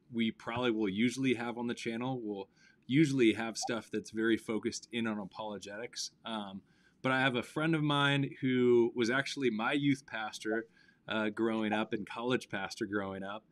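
A man hosting a weekly live-stream interview, talking casually with many pauses and fillers. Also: medium pitch. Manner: relaxed, hesitant with many fillers. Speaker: host of a weekly live show.

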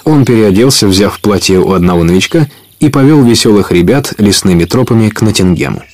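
A man's voice: lowish voice, expressive, a little fast, a little mellow. A man narrating an adventure story in a scripted radio play, as a storyteller.

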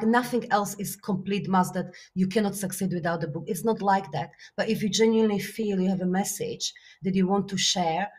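A woman from Slovakia, a native Slovak speaker, talking as an author and business coach in an interview, thoughtful with frequent fillers and easy laughter. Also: medium pitch. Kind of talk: interview guest.

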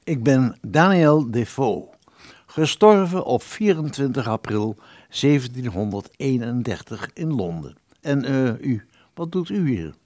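Casting: man as ghost of a centuries-old male writer, radio drama, mid-pitched, formal and aggrieved, dry sound. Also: expressive, unhurried, a little mellow, dry recording, close to an everyday voice. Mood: puzzled, formal. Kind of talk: radio play acting.

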